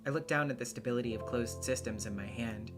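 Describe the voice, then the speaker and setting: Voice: mid-range voice, fast, music in the background. A solo voice actor giving a dramatic scripted narration of a visual novel.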